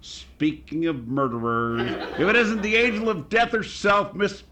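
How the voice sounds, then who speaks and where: An actor playing a pompous, crotchety older barrister in florid, theatrical old-time radio comedy style. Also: male voice, sits high, measured pace, noisy background. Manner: florid, drawling, theatrical grumbling.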